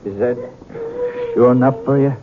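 A man's voice: medium pitch, fairly even intonation, normal speed, close to an everyday voice. An American man playing a tough, defiant cowpoke rancher and family man in a radio western. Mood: grim, relieved. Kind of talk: 1950s radio western acting. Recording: very noisy narrow-band 1950s radio.

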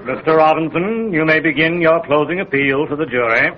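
An actor playing a solemn male presiding judge, formal and measured, in 1930s radio style. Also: fairly high pitch, natural voice. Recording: muffled, noisy 1930s radio recording.

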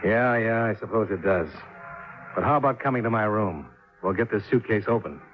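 An actor playing a cool, shrewd insurance investigator in a 1950s radio drama, speaking and narrating in a clipped, dry, matter-of-fact way. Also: male voice, on the low side, fairly quick, lightly acted. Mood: wry, guarded. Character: cool, shrewd freelance male insurance investigator, wry and self-reliant. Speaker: lead actor and narrator of a radio detective serial. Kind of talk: 1950s radio drama acting, first-person hard-boiled narration. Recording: muffled 1950s radio recording with music.

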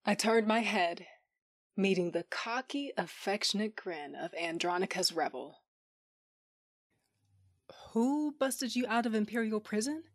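A woman's voice: mid-range voice, animated, measured pace, pauses often, no echo, clean studio sound. A woman playing a tough, sardonic young fighter who tells her own story intimately in an audio drama, sometimes dropping to a whisper. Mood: wry, amused. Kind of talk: first-person audio drama narration.

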